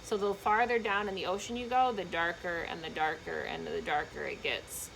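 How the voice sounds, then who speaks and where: A woman reading a children's book aloud in an expressive, emphatic storytelling manner, with short explanatory asides for young listeners. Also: fairly low pitch, moderate pace, gravelly, a little hiss or hum, poor audio.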